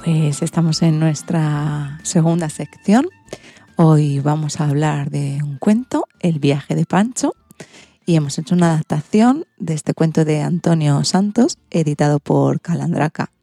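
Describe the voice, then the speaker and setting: A woman's voice: low-pitched, a little slow, creaky, dark tone, no room sound, soft background music. A woman with an accent from Spain, hosting and narrating a children's story from a script. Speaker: podcast host and storyteller.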